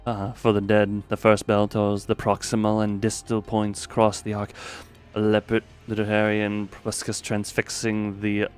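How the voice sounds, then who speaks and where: A solemn, cryptic game character voiced by a man intones verse in a low register. The delivery is grave and halting, over faint music. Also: monotone, smooth, lightly acted.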